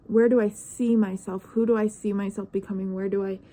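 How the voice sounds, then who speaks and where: Nineteen-year-old woman, vlogger; hesitant, reflective monologue, dark mellow tone. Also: medium pitch, flat delivery, brisk. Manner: searching, hesitant, trails off into questions.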